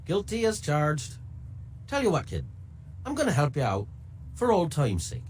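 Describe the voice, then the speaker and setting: Crafty middle-aged broker, highish voice, swinging intonation, wheedling, sardonic, game dialogue. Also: male voice, huge pitch swings, moderate pace, a little mellow, a little hiss or hum. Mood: sly bargaining. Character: sly, mercenary middle-aged male information dealer.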